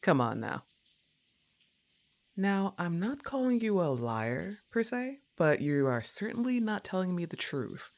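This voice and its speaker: A woman's voice: lowish voice, sing-song, a little slow, a little mellow. A woman playing a laid-back, teasing young goth roommate in an ASMR roleplay, with a casual, coaxing manner and a touch of annoyance. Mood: mildly annoyed, probing.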